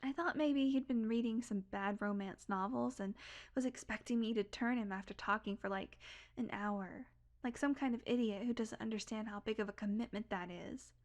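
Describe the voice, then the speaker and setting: Woman playing a brash, confiding vampire, higher voice. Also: normal speed, lightly acted. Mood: nostalgic, confiding. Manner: casual, confiding, wry, swearing, drifting into whispers. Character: brash, sardonic, secretly tender female vampire, long-lived. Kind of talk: intimate audio roleplay monologue.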